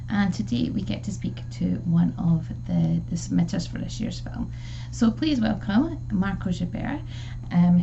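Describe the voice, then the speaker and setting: A woman hosting an interview show, asking friendly questions with a few fillers. Her voice is gravelly and mellow. The line sounds muffled, with faint noise behind her. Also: mid-range voice. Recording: dull, slightly noisy line.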